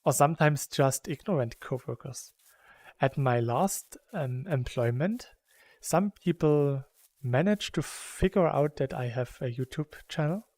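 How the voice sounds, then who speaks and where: Slow-talking German man, casual chat. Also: fairly high pitch, darkish tone, dry recording. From Saxony, Germany. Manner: rambling and chatty, laughs between thoughts. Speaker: gaming YouTuber. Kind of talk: casual chat while playing a game.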